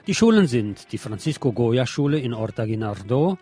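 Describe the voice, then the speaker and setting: A man's voice: medium pitch, very animated, moderate pace, dark tone, breathy edge. Male radio presenter with music behind him. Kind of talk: scripted news magazine narration.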